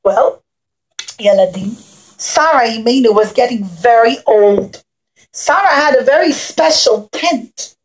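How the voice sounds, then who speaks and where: A woman's voice: on the high side, animated, unhurried, low-quality recording. A woman storyteller presenting a puppet show for young children, speaking in simple short phrases and addressing them directly.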